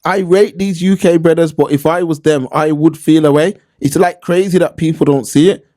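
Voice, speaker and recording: A man's voice: on the high side, steady tone, normal speed, warm tone. A man with a London accent giving opinions in casual podcast talk, slangy and emphatic, repeating points for stress. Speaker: podcast host.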